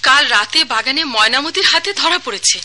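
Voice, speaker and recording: A fussing, easily alarmed queen in a radio play, Bangladeshi accent. Her high, piercing voice exclaims and questions, over faint background noise. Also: animated, normal speed, bright, forceful tone, heavily acted. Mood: agitated. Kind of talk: radio drama acting.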